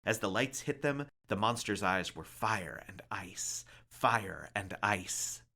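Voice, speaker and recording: A man narrates a somber tale in a theatrical read-aloud style. His voice sits a little low but has a bright, forceful edge. The phrasing is deliberate and dramatic, like a librarian performing a story. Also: animated.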